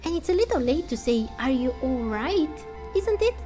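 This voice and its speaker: A woman voicing a small, excitable, sceptical fairy companion in a game, speaking in an exclamatory way. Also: sits high, normal speed. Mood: indignant. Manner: exclamatory, indignant, speaks of herself by name. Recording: music under the voice.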